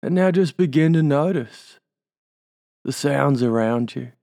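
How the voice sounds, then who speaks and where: Slow gravelly Australian hypnotist man. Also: mid-range voice, mellow, dry recording, studio quality. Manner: slow soothing suggestions with tag questions. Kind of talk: guided hypnosis induction.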